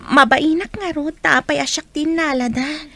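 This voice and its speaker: High-voiced, shy young student. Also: female voice, steady tone, normal speed, pushed and bright. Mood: bashful. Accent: Ilocano. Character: shy, modest, polite young student daughter. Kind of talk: radio drama acting.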